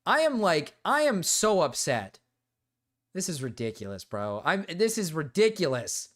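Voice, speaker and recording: Excitable young man streaming. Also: on the high side, unhurried. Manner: excitable, swears, swings from loud indignation to mumbling. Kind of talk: casual reaction stream chat.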